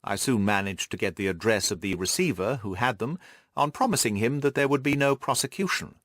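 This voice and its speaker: A man reading the part of a shrewd, self-assured gentleman detective, precise and methodical, in an audiobook. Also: lowish voice, animated, fairly quick, dry recording, studio quality. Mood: brisk, satisfied.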